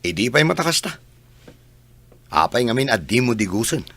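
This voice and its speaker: A man playing a cheeky, argumentative younger brother in an Ilocano radio drama, with a raspy voice and a Filipino accent. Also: medium pitch, big pitch swings, moderate pace, fairly bright tone.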